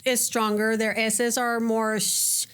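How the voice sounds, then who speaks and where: Colombian-accented woman, lively interview guest. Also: fairly high pitch, takes it slowly, very noisy. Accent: Colombian Spanish. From Colombia (Cali). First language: Spanish. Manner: animated, restarts and acts out quoted voices. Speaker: guest, educator. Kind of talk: casual interview chat.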